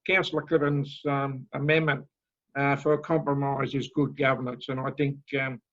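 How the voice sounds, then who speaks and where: A man councillor speaking haltingly and conciliatorily at a council meeting, with lots of ums. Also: a little high, a bit bright. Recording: dull lo-fi meeting audio.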